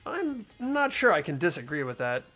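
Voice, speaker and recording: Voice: medium pitch, big pitch swings, moderate pace, a bit bright, soft background music. A player voicing a mild-mannered, hesitant teenage boy detective, reading a video game's dialogue and inner monologue aloud. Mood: earnest, placating. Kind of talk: amateur voice acting and inner monologue of a game script.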